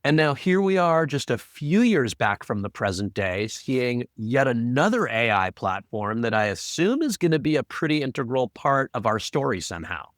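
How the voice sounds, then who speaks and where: A man's voice: mid-range voice, very animated, moderate pace, hardly any pauses, no echo. A man co-hosting a casual podcast discussion as its audio producer, with dry, teasing banter.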